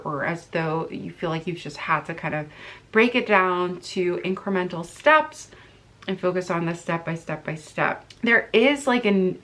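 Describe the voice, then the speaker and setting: A woman with a slightly low voice reads tarot cards straight to the viewer in a casual, chatty way. Her nose sounds stuffy from allergies. She wanders through her thoughts and often circles back to find the right words. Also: fairly bright tone. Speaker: tarot card reader. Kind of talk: casual pick-a-card tarot reading to camera.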